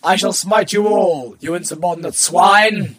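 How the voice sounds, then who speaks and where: A woman's voice: fairly low pitch, a little slow, a bit bright. A woman playing a tiny, pompous male magical guru who gives indignant, grandiose commands in a comic radio play. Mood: indignant, commanding. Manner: grandiose, commanding, archaic phrasing. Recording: music behind the voice.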